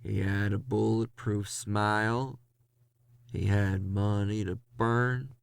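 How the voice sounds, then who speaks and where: A man acts out a weary, bitter ghost hunter narrating a noir horror drama. The voice is low and unhurried, with a gravelly edge and a very dry, close sound. The delivery is brooding and confessional. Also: fairly even intonation, no room sound. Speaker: narrator and lead character. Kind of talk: first-person noir horror audio drama monologue.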